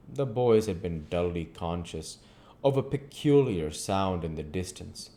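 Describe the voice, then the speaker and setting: A man's voice: sits low, darkish tone. A man reading classic fiction aloud as a narrator. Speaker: audiobook reader. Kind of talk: scripted literary narration.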